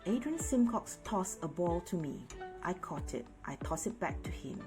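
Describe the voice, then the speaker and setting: A woman reading a children's picture book aloud in an expressive storytelling way. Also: fairly low pitch. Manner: deliberate, animated storytelling. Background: music.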